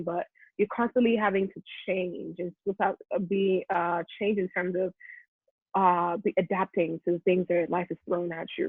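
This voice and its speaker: A Nigerian woman, a Yoruba native speaker, talking as an interview guest in an animated way, often restarting her sentences and laughing. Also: lowish voice, slightly rough, no echo. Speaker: guest content creator. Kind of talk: reflective interview talk. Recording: tinny phone-like line, dry.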